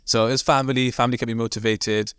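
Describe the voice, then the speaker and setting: A man from Devon, an imam, talking casually as a podcast guest in quick, rambling sentences full of fillers and restarts. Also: mid-range voice, flat delivery, a bit bright, smooth, no room sound, studio quality. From Devon, England. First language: not Arabic. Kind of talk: casual conversational interview.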